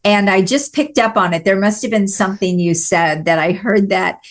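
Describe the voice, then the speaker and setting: A woman's voice: mid-range voice, normal speed. A woman from Missouri with a light Missouri accent, hosting an interview podcast in an enthusiastic, chatty way with many affirmations. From Missouri, USA. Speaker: podcast host. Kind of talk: conversational interview.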